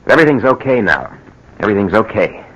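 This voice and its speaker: A low-voiced, desperate fugitive husband in a vintage radio thriller. His delivery is overwrought and halting, swinging widely in pitch. The old recording is dull, with faint noise. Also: big pitch swings. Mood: uneasy. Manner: overwrought, halting, muttering to himself. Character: desperate, guilt-ridden husband turned fugitive murderer. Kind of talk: old-time radio drama acting.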